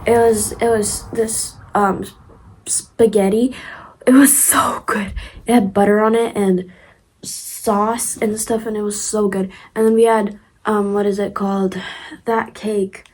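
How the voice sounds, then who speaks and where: A woman's voice: mid-range voice, little pitch movement, a little slow, darkish tone. A young woman with a Ukrainian accent talks straight to her viewers in a loose storytime vlog. She rambles and restarts often, with lots of "um" and "like" and giggly asides. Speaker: vlogger.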